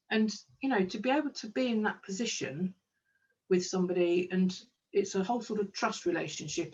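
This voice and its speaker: A woman's voice: fairly low pitch, a little mellow, recorded from a distance, highs cut off. A woman, a kinesiologist and business owner, talking enthusiastically in a casual interview with plenty of ums. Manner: enthusiastic, chatty, with frequent ums.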